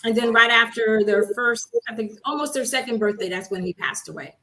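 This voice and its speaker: Woman guest, fairly high voice, earnest, steady storytelling. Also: a little fast, a little hiss or hum, low-quality recording. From Haiti, raised in Miami. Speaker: guest, coach. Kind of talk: casual interview.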